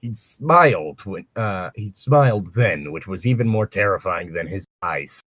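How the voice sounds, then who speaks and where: A man's voice: low-pitched, animated, a little slow, fairly bright tone, no room sound. A man chatting casually and reading aloud as co-host, breaking off for sardonic, sweary asides. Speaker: co-host of a fan fiction reading show. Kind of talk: casual banter and read-aloud with commentary.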